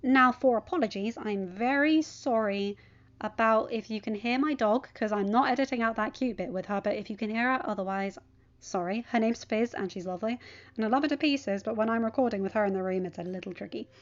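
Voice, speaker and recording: A woman's voice: a little high, a little fast, muffled recording. A woman narrating fan fiction and chatting casually to listeners as the host, recorded in a room at home.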